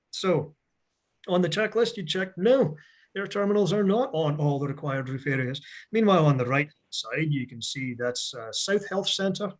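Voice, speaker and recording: Chatty middle-aged man, mixed Scottish-American accent. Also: fairly high pitch. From Scotland. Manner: chatty, joking, energetic. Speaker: seminar presenter. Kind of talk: virtual technical seminar. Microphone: video call.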